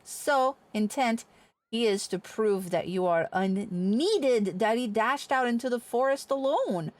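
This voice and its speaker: Woman reading as an exasperated, formal older sister. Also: on the high side. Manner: formal, archaic phrasing. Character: worried, exasperated older sister of a proud boy. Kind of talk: reading game quest dialogue aloud in character.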